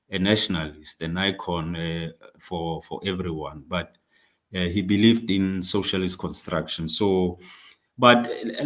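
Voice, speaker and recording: A man from South Africa whose first language is not English, speaking to comrades in a discussion, halting with frequent fillers and restarts. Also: fairly low pitch. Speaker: speaker on labour politics.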